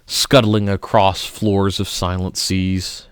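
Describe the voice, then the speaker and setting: A man giving a theatrical, melodramatic poetry reading, swinging between loud outbursts and hushed murmurs. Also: sits low, level tone, slow pace, smooth, no echo, clean studio sound. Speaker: poetry reader.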